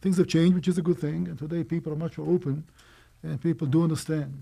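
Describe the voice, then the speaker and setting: A man in his sixties, born in Romania, telling his life story as an interview guest in a brisk, animated way. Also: fairly high pitch, creaky. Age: 60s. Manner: brisk, animated, quick asides and small laughs.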